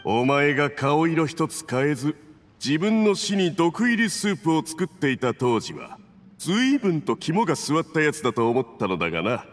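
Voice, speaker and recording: A man's voice: on the high side, sing-song, moderate pace, big-room sound. A man voicing a scheming, domineering male agent in an anime video game, smug, patronizing and commanding. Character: scheming, domineering Fatui agent toasting his accomplice.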